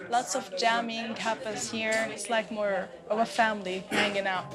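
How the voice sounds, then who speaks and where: A young woman from Syria, talking candidly and softly as a guest over a meal in a busy cafe. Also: fairly high pitch, level tone, normal speed. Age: young adult. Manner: candid, soft-spoken, laughs between remarks. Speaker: interview guest. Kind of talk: casual conversation over a meal. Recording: cafe recording with music behind. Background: loud music.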